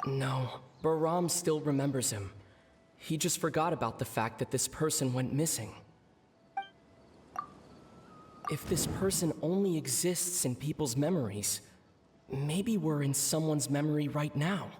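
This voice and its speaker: An actor playing a serious, reserved, knowledgeable wanderer in a video game, explaining things in a measured, deliberate manner. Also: male voice, medium pitch, darkish tone, a little hiss or hum, close to an everyday voice. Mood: analytical, serious. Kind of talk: video game character acting.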